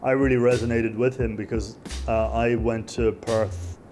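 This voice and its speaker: A man's voice: medium pitch, flat delivery, moderate pace, a little mellow, faint music behind. Chatty middle-aged man. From Ireland. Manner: chatty, rambling. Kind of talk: interview. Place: indoor venue hallway.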